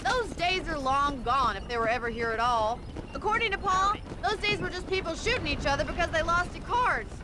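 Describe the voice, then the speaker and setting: A sharp-tongued frontier rancher woman, high-voiced. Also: lively intonation, a little fast, very noisy. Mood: scornful. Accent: American. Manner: wry, articulate, sarcastic. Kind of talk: video game voice acting, dramatic dialogue. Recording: loud wagon and outdoor ambience.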